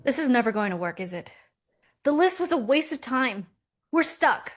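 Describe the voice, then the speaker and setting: An actress playing a practical, doubting millennial twin sister in a romcom script read. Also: female voice, sits high, very animated, moderate pace. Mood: frustrated.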